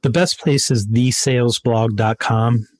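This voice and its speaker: A man's voice: medium pitch, steady tone, a little slow, a little mellow, dry recording. A man with a mid-range voice talks as a podcast guest, driving home his points with emphatic, motivational repetition. The recording is close and dry, with no room sound. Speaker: guest, sales author. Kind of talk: interview conversation.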